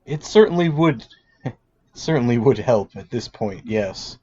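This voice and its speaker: A man's voice: medium pitch, expressive, unhurried, a little mellow, husky, lo-fi sound. A man playing a tabletop roleplaying game, switching between casual table talk and in-character speech.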